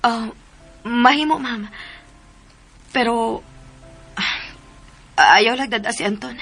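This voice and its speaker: A woman's voice: on the high side, slow, fairly bright tone, soft background music, exaggerated voice. A Filipino woman in a radio drama playing an earnest, deferential and fearful young woman. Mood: deferential, worried. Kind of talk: Philippine radio drama acting.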